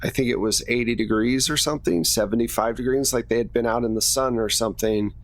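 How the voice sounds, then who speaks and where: A man's voice: medium pitch, fast, natural voice. A man playing a troubled small-town coroner in a tabletop game, recounting things in a plain, hesitant way. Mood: troubled, matter-of-fact.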